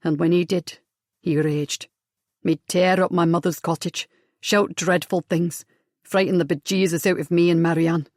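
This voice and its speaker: A woman narrator puts on a tender, wounded young man who speaks low, earnest and halting. His delivery stays flat and even, never lifting much. The sound is dry and close. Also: dry recording, natural voice.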